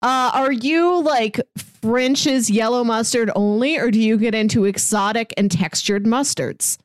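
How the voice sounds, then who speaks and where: A woman co-hosting a casual chat show, playfully arguing and explaining her reasoning in relaxed banter. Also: fairly high pitch, unhurried, no room sound. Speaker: podcast co-host.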